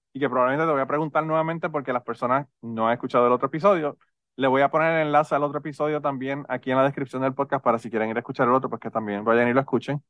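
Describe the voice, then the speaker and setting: Male podcast host, Puerto Rican accent, mid-pitched voice, dry sound, informal and talkative. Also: a bit bright, dry recording. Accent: Puerto Rican Spanish. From Puerto Rico. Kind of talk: casual conversational interview.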